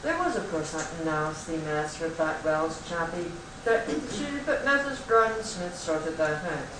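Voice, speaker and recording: A man's voice: fairly high pitch, animated, a little slow. A man playing a stuffy, polite English narrator, formally telling a comic radio play. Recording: echoey room, distant mic, faint noise.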